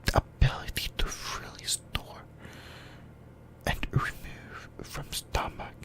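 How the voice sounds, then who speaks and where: A person whispering breathily in a halting ASMR explainer about anime lore, often repeating phrases. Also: fairly bright tone. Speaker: YouTuber, ASMR host.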